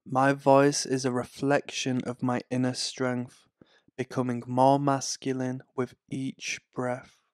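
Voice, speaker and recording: Calm slow-spoken man, smooth and flat. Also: medium pitch, no room sound, clean studio sound. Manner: slow, calm, soothing delivery. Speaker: affirmation narrator. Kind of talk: guided affirmation narration.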